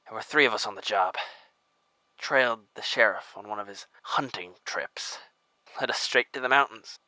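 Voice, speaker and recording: An actor playing a bleeding, weary former federal agent who talks in a halting, strained voice with sighs. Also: male voice, on the low side, pushed and bright, no echo, poor audio. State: injured, weak and short of breath. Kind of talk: audio drama acting.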